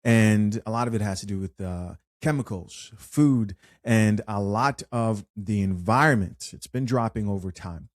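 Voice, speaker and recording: A man hosting an unscripted commentary show, chatty and animated as he reads viewer comments aloud. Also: lowish voice, a little slow, few pauses, dry recording, clean studio sound. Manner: chatty, animated, with frequent fillers and restarts. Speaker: host.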